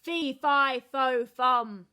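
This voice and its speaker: A woman's voice: very high-pitched, lively intonation, slow pace, pitched above the performer's own voice, heavily acted. A woman voicing a huge, scary, hungry male giant who roars his threats. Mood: roaring. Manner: roaring, loud.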